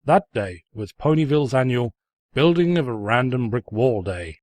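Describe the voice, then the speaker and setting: A man's voice: medium pitch, expressive, normal speed, darkish tone, no echo, natural voice. A man playing a sarcastic, pompous narrator who mockingly tells a comic fan fiction story. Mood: snide. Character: snide, mocking male storybook narrator who insults the heroine.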